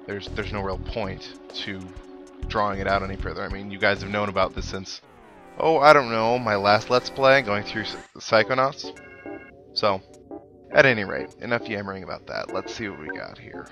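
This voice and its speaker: A man's voice: on the low side, normal speed. A young man giving casual, rambling video game commentary with reflective asides. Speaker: let's play host. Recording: voice-over mixed with game music. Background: video game music.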